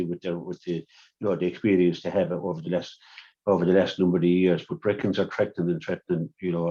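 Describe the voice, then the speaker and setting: Guy on a sports radio show, deep mellow voice, keeps restarting, dull lo-fi sound. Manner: halting, many repeated words and restarts. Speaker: sports analyst. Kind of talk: radio sports preview chat.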